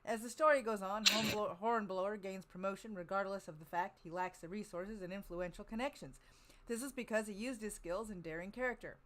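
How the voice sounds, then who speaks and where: Woman hosting, mid-pitched voice. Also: a little fast, a bit bright, low-quality recording. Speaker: podcast host. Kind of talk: reading introductions and chatting with a panel.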